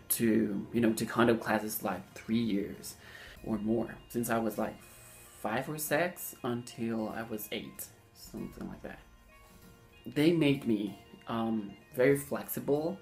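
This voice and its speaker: A young man talks casually about his own life in a medium-pitched voice. His delivery is slow and halting, as he keeps searching for the right words. Faint guitar music plays behind him. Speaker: vlogger telling a personal story. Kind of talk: casual personal storytelling.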